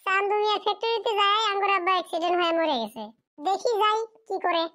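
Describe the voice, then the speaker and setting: A performer acts out a poor orphaned child from a cartoon village. The voice is extremely high and small. The child speaks a rustic Bengali dialect, humble and plaintive. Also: steady tone, fast talker, sharp tone. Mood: sad, worried. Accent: rural Bengali dialect. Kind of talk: amateur cartoon drama dialogue.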